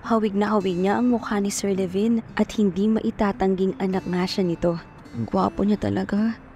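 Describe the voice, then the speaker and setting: A woman's voice: mid-range voice, fairly even intonation, moderate pace, dark tone, muffled recording, close to an everyday voice. An actress playing a poor, determined, lovestruck young provincial woman who narrates her own story earnestly and introspectively. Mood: tender, yearning. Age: young adult. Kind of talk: scripted first-person dramatic narration. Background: music.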